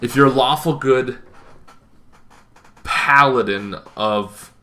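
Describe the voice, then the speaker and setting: A young man talking casually to camera, explaining things in an unscripted way with emphatic phrasing and pauses. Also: mid-range voice, sharp tone. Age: young adult. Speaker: tabletop gaming video host. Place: small bedroom, indoors.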